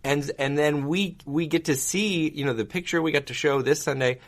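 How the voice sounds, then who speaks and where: A man's voice: a little high, moderate pace. Upbeat man hosting a church podcast. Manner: upbeat, promotional. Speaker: podcast host. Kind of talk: casual podcast chat.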